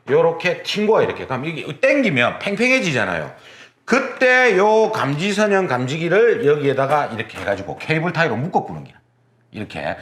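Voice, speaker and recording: Animated male instructor. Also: on the high side. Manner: animated, repeats key terms, demonstrative. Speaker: exam prep instructor. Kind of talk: recorded lecture. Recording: roomy lecture recording, faint noise.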